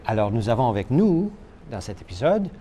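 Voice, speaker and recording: A man's voice: medium pitch, sing-song, a little fast, dark tone, a little hiss or hum. A man co-hosting a casual TV talk show, jovial, laughing at his own stumbles and using many fillers. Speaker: co-host.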